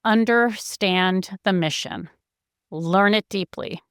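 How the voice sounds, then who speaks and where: A woman's voice: medium pitch, slow pace, clear, resonant tone, dry recording, studio quality. A woman executive giving explanatory answers in an interview, speaking in an earnest, enthusiastic and articulate way. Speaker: company chief executive, interview guest.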